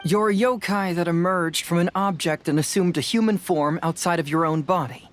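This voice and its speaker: A man's voice: high voice, slight background noise, close to an everyday voice. Man playing a light-voiced, confident young detective. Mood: firm, calm. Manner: deliberate, self-assured reasoning. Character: confident young male detective, analytical, unflappable. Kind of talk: video game voice acting.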